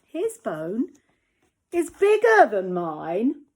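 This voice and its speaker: Woman doing Greedy Dog, whiny and loud. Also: a little high, wildly swooping pitch, drawn-out words, exaggerated voice. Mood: cross. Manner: eager and whiny, louder than the narration. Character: greedy jealous male dog in a storybook.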